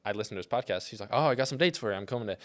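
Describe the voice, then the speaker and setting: A man's voice: fairly low pitch, lively intonation, rapid-fire. A man with an American accent chatting casually as a podcast guest, telling things in a rambling, informal way peppered with "like" and "dude".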